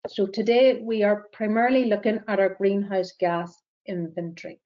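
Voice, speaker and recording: A woman with a Northern Irish accent presenting a webinar as a greenhouse gas verifier, speaking in a measured way with frequent ums and uhs. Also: medium pitch, fairly even intonation, dull audio. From Northern Ireland.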